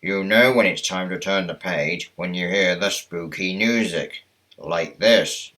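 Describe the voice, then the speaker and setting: A man narrating a children's read-along story in a deliberate storytelling manner. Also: low-pitched.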